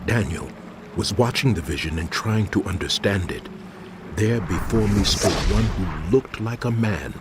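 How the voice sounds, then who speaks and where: A man's voice: deep voice, expressive, a little slow, very noisy. A man playing a troubled prophet, recounting visions in solemn, dramatic scripture narration. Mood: awed, fearful.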